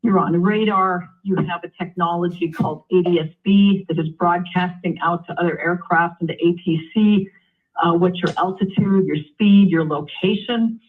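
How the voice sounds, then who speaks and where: Woman, phone-like narrow audio, low-pitched for a female voice, aviation expert, measured explanatory news interview. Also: fairly even intonation. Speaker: aviation expert, former airline pilot. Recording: narrow phone-like line, radio-like sound.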